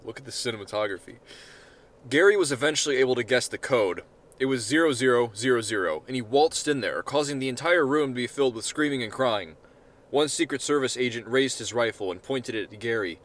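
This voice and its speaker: A man's voice: mid-range voice, lively intonation, a little fast, fairly bright tone. A male author reads his short story aloud in a mid-range voice. The narration is informal, with casual, sweary asides.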